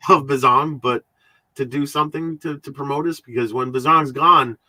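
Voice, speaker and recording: A man hosting a podcast in casual, rambling, halting talk, often repeating his words and swearing freely. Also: mid-range voice, steady tone, sharp tone, no echo, rough recording. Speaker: podcast host.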